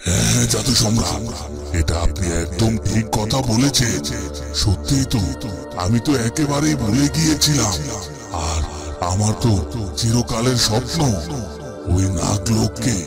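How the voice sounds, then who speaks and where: A man voicing a vengeful, scheming exiled cobra villain in a cartoon, gravelly and deliberately menacing. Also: lowish voice, animated. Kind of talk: dramatic cartoon villain acting. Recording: loud music behind the voice.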